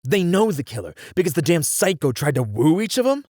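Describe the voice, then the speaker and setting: Guy playing a driven detective in an asmr roleplay, thinking out loud, haltingly, pitch moves around a lot, super dry recording. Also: sing-song, no room sound. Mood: startled, intrigued. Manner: halting, musing, thinking aloud. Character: driven male detective piecing together a serial killer case. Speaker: voice actor in a roleplay. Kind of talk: ASMR roleplay, dramatic one-sided dialogue.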